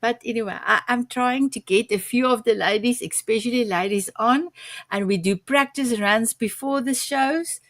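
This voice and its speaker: A woman's voice: a little high, normal speed, no room sound. A woman whose first language is Afrikaans, hosting a talk show and chatting in a hesitant, repeating way. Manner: hesitant, repeats words, animated. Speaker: host. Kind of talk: casual talk show chat.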